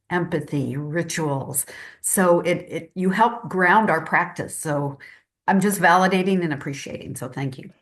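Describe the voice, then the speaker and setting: Low-voiced woman, appreciative coach. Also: a bit bright. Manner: appreciative, hesitant with fillers. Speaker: coach in the audience. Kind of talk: video call comment.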